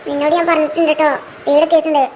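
A performer voices a boastful cartoon village girl with an extremely high voice. She rattles off instructions, sounding like a know-it-all elder sister. A faint noise sits behind the recording. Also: flat delivery, racing, natural voice. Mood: bossy. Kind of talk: amateur cartoon dubbing.

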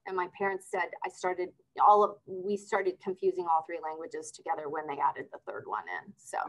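A woman's voice: on the low side, moderate pace, a bit bright, rough recording. Upbeat woman telling her story. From Argentina. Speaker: guest. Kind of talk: casual interview talk. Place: office with window blinds. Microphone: video call.

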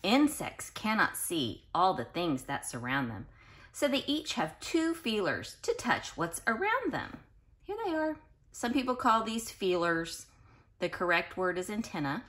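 A woman with a slightly low voice reads a picture book to young children in a teacherly way. Her intonation swings widely and expressively. She enunciates with care and breaks off for explanations and questions to her listeners. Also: big pitch swings, measured pace, a bit bright. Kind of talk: read-aloud with explanatory asides to children.

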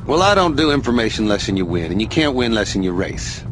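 An actor playing a sly, smug outlaw racer in a video game, goading with mocking theatrical pauses. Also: male voice, fairly low pitch, very animated, background noise. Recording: music behind the dialogue.

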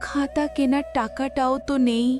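A woman's voice: high-pitched, fairly even intonation, normal speed, music in the background, natural voice. A woman voicing a worried, caring wife and mother from a poor family in a cartoon. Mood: anxious. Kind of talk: dramatised cartoon dialogue.

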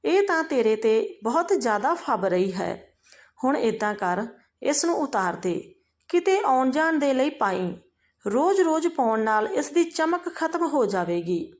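A woman voicing a sharp-tongued, bitter, domineering mother-in-law in a narrated family story. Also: sits high, very animated, a little slow. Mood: bossy. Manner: scolding, sharp.

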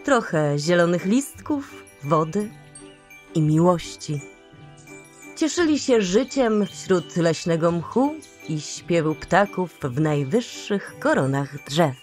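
A woman reads a children's story aloud with calm, gentle narration. Her lowish voice moves slowly over soft background music.

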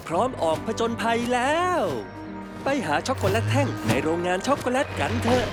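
A woman's voice: fairly high pitch, lively intonation, moderate pace, natural voice. A woman voicing a cheerful, curious young male cartoon explorer in a dub, polite and upbeat. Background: cartoon music.

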